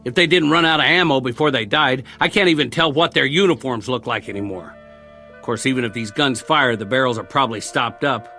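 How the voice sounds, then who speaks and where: Gravelly, weary survivor soldier. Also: male voice, medium pitch, brisk, sharp tone, faint music behind. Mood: tense, daring. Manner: folksy, rambling, colloquial monologue delivery. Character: weary, talkative male survivor soldier, wry and wistful. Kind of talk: radio drama acting.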